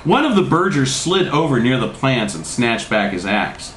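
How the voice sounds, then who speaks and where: A man reading a comic story aloud to others with dramatic, deadpan comic delivery. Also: medium pitch, moderate pace. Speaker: reader. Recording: roomy echo, faint noise.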